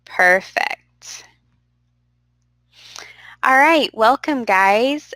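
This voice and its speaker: A woman's voice: on the high side, lively intonation, very slow, sharp tone, no room sound. Upbeat woman teaching an online class. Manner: upbeat, encouraging, addresses class directly. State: stuffy from a cold. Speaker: instructor. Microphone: computer microphone.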